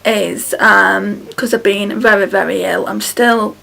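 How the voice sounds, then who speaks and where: Woman in her thirties, hoarse and raspy, tired halting chat, close camera mic at home. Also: medium pitch, fairly even intonation, a bit bright. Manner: tired, halting, many ums. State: hoarse, croaky voice from illness. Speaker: beauty vlogger. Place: small room at home, indoors. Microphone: camera close in front.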